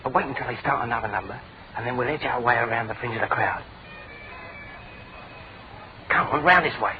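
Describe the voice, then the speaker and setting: Tinny, quick, cheeky British sidekick acted by a man. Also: medium pitch, noisy background, close to an everyday voice. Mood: urgent. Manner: breezy, teasing, chatty. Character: cheeky, slangy young male detective's assistant. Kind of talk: old British radio drama acting. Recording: tinny phone-like radio recording, crowd behind.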